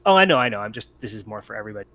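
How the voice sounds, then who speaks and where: A man's voice: on the low side, very animated, racing, pushed and bright, lo-fi sound. A man acting as game master, explaining turns and rules of a tabletop roleplaying game in hesitant phrasing.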